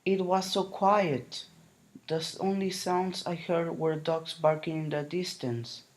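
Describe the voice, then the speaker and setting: A man reading a children's picture book aloud in a solemn, deliberate way, with dramatic pauses. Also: a little high, steady tone. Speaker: storybook reader.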